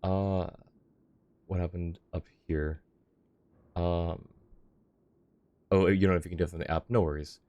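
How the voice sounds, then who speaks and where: A man's voice: deep voice, fairly even intonation, unhurried, pauses often, mellow. A young man livestreaming a game and chatting casually with viewers on a close microphone. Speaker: live game streamer. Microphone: close streaming microphone.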